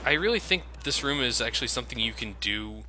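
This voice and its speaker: A man's voice: mid-range voice, lively intonation, fast talker, noisy background. A man giving casual, sardonic, sweary commentary as he plays a video game. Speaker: video game let's player and host.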